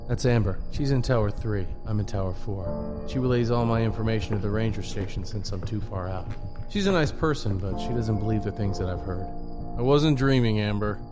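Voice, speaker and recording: Actor as uneasy fire lookout, low-key narration, music bed. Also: male voice, a little fast, natural voice. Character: uneasy male fire watcher, writer. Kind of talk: audio drama narration.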